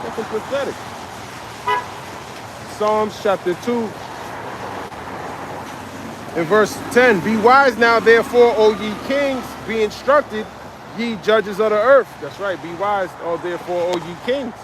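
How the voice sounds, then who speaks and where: A man's voice: high voice, measured pace, long pauses, noisy background. A man preaching on a live stream, reading scripture aloud and expounding it in a confrontational, profane way. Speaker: street preacher.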